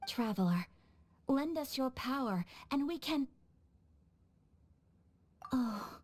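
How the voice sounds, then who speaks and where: A woman's voice: high-pitched, animated, takes it slowly, exaggerated voice. A woman voicing a gracious, earnest young noblewoman in a video game, speaking formally and politely. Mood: pleading. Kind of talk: video game character acting. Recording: music playing behind the voice.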